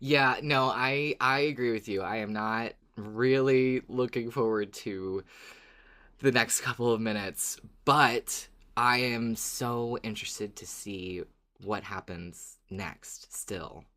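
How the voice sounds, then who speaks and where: A podcast co-host chatting casually, in an informal and somewhat halting way. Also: mid-range voice, sharp tone. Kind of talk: casual fan podcast chat.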